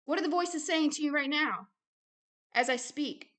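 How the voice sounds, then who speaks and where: A woman's voice: high voice, normal speed, fairly bright tone. A woman hosting a religious video, reading aloud and preaching with an emphatic, insistent manner and short repeated phrases. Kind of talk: reading a book aloud with impassioned preaching commentary.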